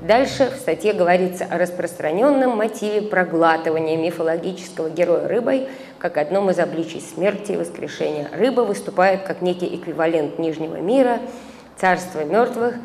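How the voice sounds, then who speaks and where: Middle-aged woman lecturer at a lectern mic, measured academic reading, long hall reverb, faint hiss. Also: lowish voice, darkish tone. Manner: measured reading with hesitant fillers, recites verse. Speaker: conference speaker, translator. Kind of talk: reading an academic paper aloud. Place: conference hall, indoors. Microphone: stand microphone at a lectern.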